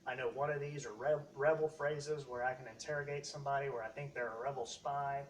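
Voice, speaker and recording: A man hosts a casual hands-on gear demonstration. His explanation is halting and rambling, picked up at some distance from the mic with faint noise behind it. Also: medium pitch, fairly even intonation. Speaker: hobbyist video host demonstrating costume gear.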